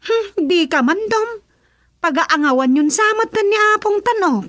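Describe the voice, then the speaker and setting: A doubting villager in a radio comedy drama. Her Ilocano-accented voice is very high and animated. She stretches her words at a slow pace. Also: no room sound, heavily acted. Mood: skeptical. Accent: Filipino, Ilocano.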